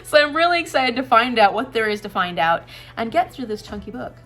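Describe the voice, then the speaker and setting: Chatty young woman with a higher voice. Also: sing-song, brisk, fairly bright tone. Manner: upbeat and chatty, laughs often. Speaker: booktuber. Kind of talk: casual vlog announcement.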